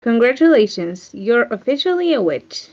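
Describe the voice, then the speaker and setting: A woman's voice: a little high, very animated, normal speed, darkish tone. A woman playing a commanding, unsentimental witch mentor in a screenplay table read. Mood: brisk, matter-of-fact. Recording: muffled online meeting audio.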